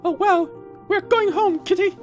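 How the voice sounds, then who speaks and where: A let's player voicing an anxious, gentle young woman from a game, earnest, polite and nervous. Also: very high-pitched, a little slow, soft background music.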